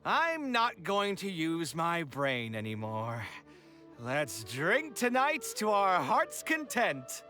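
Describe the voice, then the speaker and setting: A dramatic, easily exasperated young male architect in a video game. His voice is highish and unhurried, with theatrical expression. Music plays underneath. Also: huge pitch swings, pushed and bright, heavily acted. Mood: resigned.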